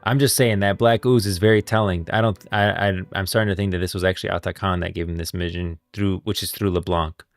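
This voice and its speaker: A man doing live stream commentary on a game's story, speculating excitedly and addressing his viewers. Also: low voice, quick pace, hardly any pauses, no echo. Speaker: streamer and game lore commentator. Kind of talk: live stream commentary reacting to game story, reading dialogue aloud. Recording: background music under voice. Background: faint music.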